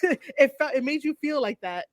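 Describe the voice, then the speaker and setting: A woman hosting a wrestling review podcast in relaxed, casual chat, with lots of fillers. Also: high-pitched, moderate pace, no room sound. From Puerto Rican heritage. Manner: relaxed, filler-heavy, easygoing. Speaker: podcast host.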